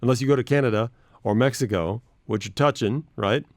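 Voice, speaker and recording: A man's voice: mid-range voice, brisk, no room sound. A man hosting a radio show, chatting casually in a studio, laughing easily and telling stories.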